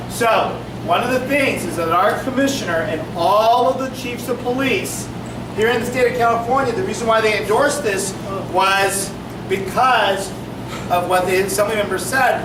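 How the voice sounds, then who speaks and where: A man, a police officer, explaining things to a community meeting, projecting his voice and quizzing the audience. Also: high-pitched. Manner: projecting, brisk, asks the audience questions. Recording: echoey room from far off, noisy.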